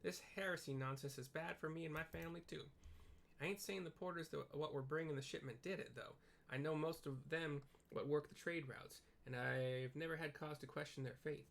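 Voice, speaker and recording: A man's voice: on the high side, steady tone, brisk, vocal fry, fairly bright tone. Man putting on a blunt, folksy loyal working man. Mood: defensive. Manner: folksy rustic dialect, blunt. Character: gruff, loyal working-class male quartermaster, indignant.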